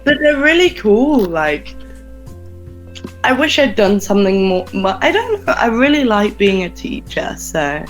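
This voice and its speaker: A young Englishwoman, a teacher asking interview questions in a slow, patient, encouraging way. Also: mid-range voice, big pitch swings, soft background music, low-quality recording. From England. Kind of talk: casual conversational interview over a call.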